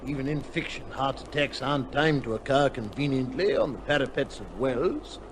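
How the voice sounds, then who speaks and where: An actor playing a genial, chatty barrister with a breezy manner in an old-time radio drama. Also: male voice, animated, normal speed, lightly acted. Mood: chatty, curious. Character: genial, chatty barrister acquaintance met on train. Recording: noisy old radio, vehicle rumble behind.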